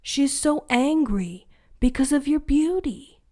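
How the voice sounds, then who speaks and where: A kind, frightened hunter from a bedtime storybook, as a woman reading aloud puts him on. He pleads gently and urgently, in an unhurried voice of middle pitch. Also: lively intonation. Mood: pleading. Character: kind, fearful male hunter.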